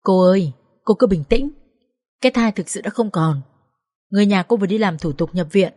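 A woman reading a story aloud, voicing a calm, kindly nurse who speaks gently. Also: medium pitch, brisk, close to an everyday voice. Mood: soothing. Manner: soothing, gentle.

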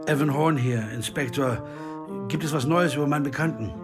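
An actor playing an insistent, searching amateur sleuth in a German radio crime drama, who also narrates. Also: male voice, on the high side, fairly quick, natural voice. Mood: questioning. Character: amateur sleuth Evan Horn, American man, insistent, searching. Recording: music behind.

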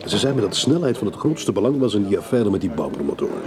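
Casting: middle-aged man, worried affable client, radio play; lowish pitch, hesitant, busy crowd behind. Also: big pitch swings, quick pace. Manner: hesitant, politely insistent. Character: fussy, worried older male client, affable family man. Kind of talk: radio drama acting.

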